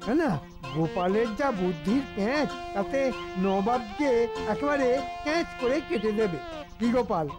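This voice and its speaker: A man's voice: high voice, expressive, normal speed. An actor voicing a sly, scheming minister in a cartoon, who moans and whines as he fakes being sick. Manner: whining, moaning. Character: scheming sly minister, middle-aged man, feigning illness. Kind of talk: cartoon voice acting. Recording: studio dub with background music.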